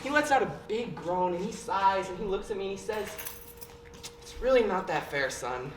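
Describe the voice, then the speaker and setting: A woman playing an arrogant, petulant teenage boy on stage, sneering and flaring into shouts. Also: medium pitch, lively intonation, moderate pace, close to an everyday voice. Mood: mocking. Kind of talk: stage play acting. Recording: roomy stage recording from a distance, faint hiss.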